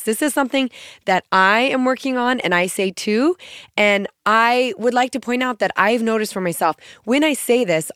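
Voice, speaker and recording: A woman in her thirties hosting a podcast, talking in an earnest, encouraging way with a scratchy, gruff voice and a stuffy nose from a cold. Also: mid-range voice, a bit bright, no room sound, clean studio sound. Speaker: podcast host. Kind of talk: motivational podcast talk.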